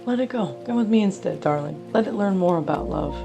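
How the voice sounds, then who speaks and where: A woman voicing a patient, tender male angel with a coaxing, gently blunt manner in a story reading. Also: medium pitch, animated, fairly quick, creaky, music in the background. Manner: calls listener honey and darling, coaxing.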